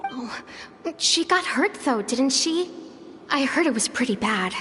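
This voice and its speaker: A woman's voice: high voice, expressive, a little slow, bright, forceful tone, full character voice. An actress playing a timid, awestruck young girl trainee in a video game, full of wistful wonder. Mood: concerned. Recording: long reverb, music behind.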